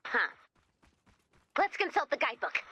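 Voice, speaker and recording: An excitable game robot guide, with an extremely high-pitched and forceful voice. The delivery is theatrical and swings widely in pitch, full of upbeat enthusiasm for the commander. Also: female voice, normal speed, no room sound, heavily acted. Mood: curious, narrating. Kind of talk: animated video game voice acting.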